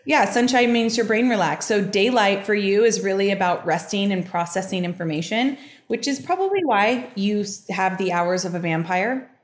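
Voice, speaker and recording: A young adult woman hosting a podcast, explaining a reading in a casual, chatty way, with frequent fillers and quick asides. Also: mid-range voice, lively intonation. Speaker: podcast host giving a reading. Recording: roomy echo.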